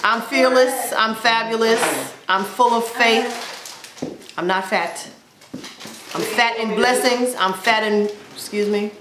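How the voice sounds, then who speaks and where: A woman's voice: fairly high pitch, steady tone, slow pace, background noise. Upbeat woman in her forties, echoey room. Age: 40s. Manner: upbeat, playful, exclaiming. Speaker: vlogger. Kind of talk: casual chat to camera. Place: indoors at home, plain wall. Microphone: camera in front of her.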